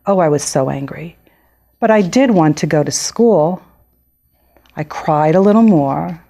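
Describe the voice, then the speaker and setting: An excitable little girl of six tells her own picture-book tale, acted out by a woman. The voice is low and soft-edged for a child. She is happy at heart but quick to get cross. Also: gravelly, heavily acted. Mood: angry, exclaiming. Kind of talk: picture-book read-aloud.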